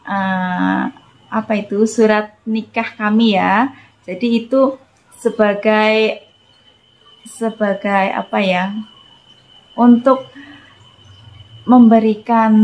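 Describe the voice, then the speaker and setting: A young Indonesian woman talking casually in a personal vlog, hesitant and pausing to search for words, slipping Malaysian Malay words into her speech. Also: mid-range voice, poor audio. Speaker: vlogger.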